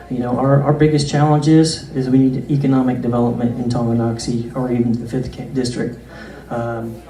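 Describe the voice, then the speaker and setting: Halting, mellow-voiced man from Kansas. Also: mid-range voice. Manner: halting, with many fillers, plain-spoken. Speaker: local candidate. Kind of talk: campaign speech. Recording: roomy, distant recording.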